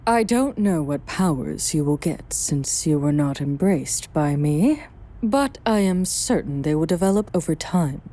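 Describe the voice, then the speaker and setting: A woman's voice: deep voice, expressive, unhurried, dark tone. A woman playing a worldly, guarded vampire sire in an audio drama, explaining things in dramatic phrasing. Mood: reassuring, explanatory. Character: self-exiled female vampire sire, worldly, guarded, brooding.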